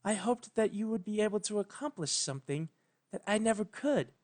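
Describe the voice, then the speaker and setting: A man voicing a regal, gentle, motherly female alicorn sun goddess in a fan fiction reading, with a melodic, measured, composed delivery. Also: high-pitched, animated, pitched above the performer's own voice. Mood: careful, tender.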